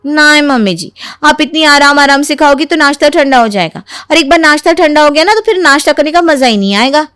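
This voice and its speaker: A woman playing a sly young Indian daughter-in-law in an animated moral story, eager, insistent and full of mock innocence. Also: high voice, brisk, dry recording, clean studio sound. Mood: pestering.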